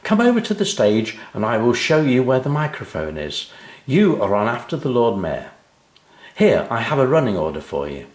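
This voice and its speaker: A man voicing a kindly, encouraging female schoolteacher in a children's story, measured and reassuring. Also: mid-range voice, huge pitch swings, reverberant, pitched above the performer's own voice. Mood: friendly.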